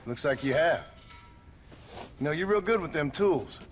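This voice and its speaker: A man's voice: on the high side, expressive, normal speed, faint music behind, lightly acted. An actor playing a plainspoken, tough frontier rancher and father with an American Western accent in a video game. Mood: fond, wry. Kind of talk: video game cutscene acting.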